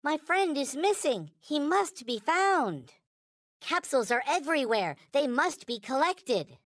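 A man voicing a small, naive, reverent toy space alien in a video game, with a high childlike cartoon voice and solemn, stilted, formal phrasing. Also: very animated, a little slow, fairly bright tone, no room sound, clean studio sound, heavily acted. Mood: worried, pleading. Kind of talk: video game character voice lines.

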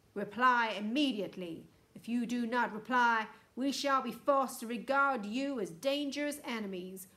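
A woman voicing an angry, urgent Space Control announcer in a children's storybook, booming loudly and commandingly. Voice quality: booming, very loud. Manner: urgent, commanding, drawn-out shouted orders.

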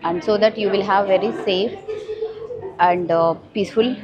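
A woman's voice: medium pitch, normal speed. A woman obstetrician from India giving a rambling, self-correcting testimonial to camera on a lapel mic. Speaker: obstetrician and gynecologist. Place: busy surgical training hall. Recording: dull audio, crowd chatter behind.

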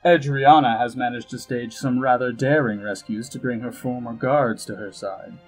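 An actor playing a suave, self-satisfied elf ambassador and spymaster in an audio drama, arch, droll and flowery, with a husky voice. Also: male voice, mid-range voice, normal speed, lightly acted. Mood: wry relish. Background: music under the voice.

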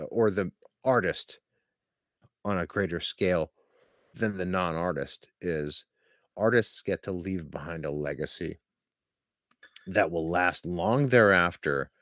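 A man's voice: deep voice, slow, a bit bright, dry recording, studio quality. A man hosting a podcast interview, talking in an earnest, rambling way with many restarts. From Pennsylvania. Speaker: podcast host. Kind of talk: casual interview.

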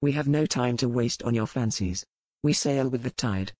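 Gruff, suspicious young first mate, played by a female narrator: low, curt, stubborn, storybook dialogue, dry sound. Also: normal speed, darkish tone, no room sound. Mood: gruff, refusing. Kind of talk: audiobook character dialogue.

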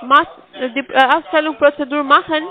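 A woman making a scam phone call, reading a halting, scripted pitch. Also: steady tone. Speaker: scam caller.

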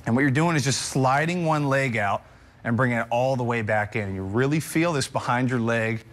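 A man's voice: medium pitch, a little fast, a bit bright. A man in his thirties, a fitness trainer, giving an upbeat live demonstration in a TV news studio on a lapel mic, talking while doing floor exercises. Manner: upbeat, instructional, says "absolutely" often.

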